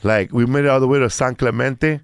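A man's voice: mid-range voice, steady tone, fairly quick, clean tone, dry recording, clean studio sound. Rambling man in his fifties, storytelling. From Mexico. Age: 50s. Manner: storytelling, loose and rambling with many restarts. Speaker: comedian guest. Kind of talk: casual chat.

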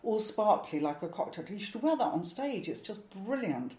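A woman's voice: mid-range voice, sing-song, normal speed, dark tone, roomy sound, slight background noise. A woman in her sixties, a stand-up comedian, answering interview questions in a chatty, rambling, self-mocking way with frequent laughs. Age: 60s.

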